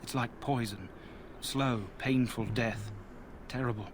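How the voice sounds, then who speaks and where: Dude voicing a chatty gossipy soldier guy in a game, folksy, mid pitch, noisy game audio behind him. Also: fairly even intonation, slow pace, natural voice. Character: chatty male camp soldier, friendly and gossipy. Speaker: soldier in a war camp. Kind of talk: video game voice acting.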